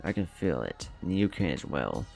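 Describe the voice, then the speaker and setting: A man voicing an ancient, calm and wise male sage in a measured, philosophical manner for a story. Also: extremely low pitch, gravelly, faint music behind, full character voice.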